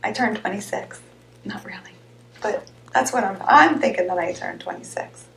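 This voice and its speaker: A woman hosting a live health show, chatting casually and rambling a little, with a slightly hoarse, raspy voice. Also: mid-range voice, expressive, normal speed, a bit bright, a little hiss or hum. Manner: chatty, rambling, greets viewers by name. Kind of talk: casual live-stream chat. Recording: echoey room.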